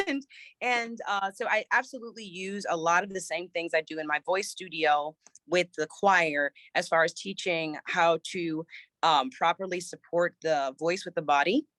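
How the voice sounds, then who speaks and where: A woman's voice: fairly low pitch, normal speed, a bit bright, no room sound. A woman choir director and voice teacher, a little scratchy from a recent cold, answering interview questions thoughtfully with many restarts.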